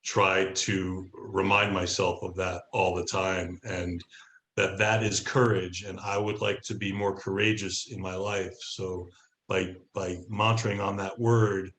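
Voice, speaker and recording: Slow, low-voiced man in an echoey room. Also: little pitch movement, a bit bright. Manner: halting, searching for words. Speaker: podcast guest, songwriter. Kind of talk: casual reflective chat.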